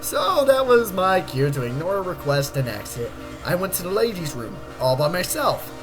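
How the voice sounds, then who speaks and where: A man's voice: high voice, big pitch swings, moderate pace. A man narrating a first-person story as a comedic dramatic reading. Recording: loud background music. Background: music.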